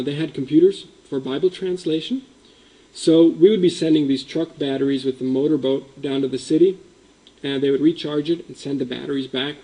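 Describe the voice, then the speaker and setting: Male presenter, fairly high, mellow voice, explaining a system with ums and likes. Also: rough recording. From Indonesia. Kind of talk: conference presentation. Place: meeting room.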